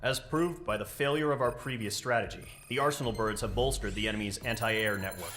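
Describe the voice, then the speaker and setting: An actor playing a stern, businesslike military officer who delivers a formal, measured mission briefing in a game. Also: male voice, lowish voice, fairly bright tone, a little hiss or hum, close to an everyday voice. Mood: grave, resolute. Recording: music under the voice.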